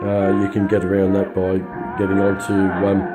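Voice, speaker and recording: An Australian man giving an informal hands-on product walkthrough in a halting, understated way, his voice slightly scratchy as he gets over influenza. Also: low voice, monotone, over loud music. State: recovering from influenza. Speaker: radio hobbyist reviewer.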